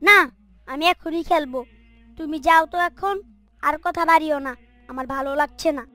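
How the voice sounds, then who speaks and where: A woman's voice: high-pitched, measured pace, pushed and bright, soft background music. A woman voicing a rude, impatient young boy who talks back, in a moral cartoon. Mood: annoyed. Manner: irritated, curt, talking back. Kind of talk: Islamic moral cartoon dialogue.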